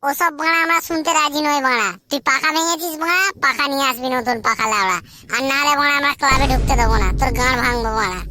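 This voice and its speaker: A man's voice: extremely high pitch, quick pace, bright, forceful tone, faint music behind, exaggerated voice. A man voicing a bossy, foul-mouthed young club lad in a comedy cartoon. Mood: angry, threatening. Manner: scolding, crude.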